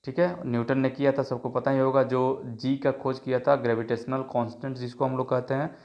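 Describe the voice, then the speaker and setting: A man's voice: medium pitch, little pitch movement, fairly quick, highs cut off. A man with a sore throat teaching an exam prep lesson, reading out each multiple choice question and its options before giving the answer. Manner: reads options then gives the answer, many filler checks.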